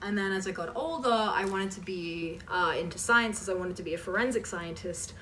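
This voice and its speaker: A woman's voice: mid-range voice, normal speed, a bit bright. A woman chatting casually as she answers questions, rambling and self-deprecating with trailing asides. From Canada. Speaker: host of a fan voice-acting channel. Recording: distant mic with faint background noise.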